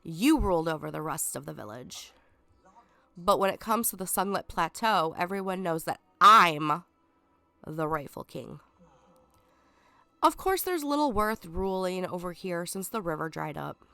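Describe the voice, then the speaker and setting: A scheming, sarcastic lion king, read by a woman with a cold in a video game walkthrough. He sounds stuffed up yet smooth, pitched on the low side and close to a dry mic. He sneers down at the listener with drawn-out dips and breathy whispered asides. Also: very animated, bright, forceful tone, no echo, faint music behind, full character voice. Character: scheming, sarcastic male lion villain, self-proclaimed king.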